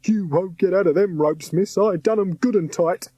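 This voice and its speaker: A man's voice: high voice, moderate pace, warm tone, breathy edge, no room sound, voice pushed up. Man doing a crude sniggering henchman. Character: rough sniggering grown male henchman.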